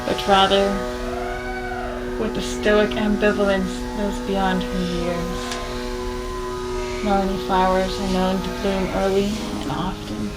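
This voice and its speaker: A woman narrating in a solemn, poetic, deadpan mock-documentary style. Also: medium pitch, a little slow, low-quality recording. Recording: loud music behind the voice.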